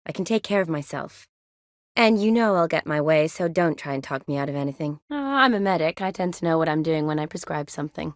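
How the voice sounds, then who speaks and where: A woman's voice: low voice, lively intonation, fairly quick, no echo, studio quality. A woman playing a composed, wry young medic in a fantasy video game, speaking articulately with a dryly teasing edge. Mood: firm, earnest. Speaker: video game character, army surgeon. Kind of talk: video game dialogue acting.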